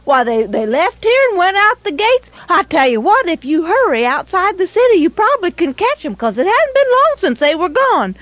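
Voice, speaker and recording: A woman voicing a frightened woman who feigns shocked surprise, with breathless, high exclamations. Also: sing-song, normal speed, few pauses, no echo. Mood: feigned shock. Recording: dull lo-fi recording.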